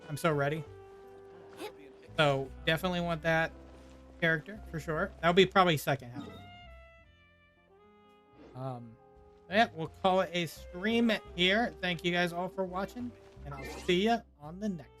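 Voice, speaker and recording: A man with a somewhat high voice chats casually as he streams a game. His commentary is informal and reactive, with faint music behind it. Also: normal speed, long pauses, fairly bright tone. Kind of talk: casual live gameplay commentary.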